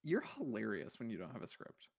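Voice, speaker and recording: A man's voice: fairly low pitch, huge pitch swings, brisk, vocal fry, breathy edge. A man hosting a livestream, chatting casually and rambling as he thinks aloud in a puzzled, musing way while browsing. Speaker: livestream host.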